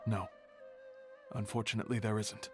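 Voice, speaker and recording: An actor playing a serious, reserved official in a video game, explaining things in a measured, curt way. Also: male voice, fairly low pitch, level tone, soft background music, natural voice. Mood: grave, informative. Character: serious male official, reserved and matter-of-fact. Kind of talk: video game dialogue acting.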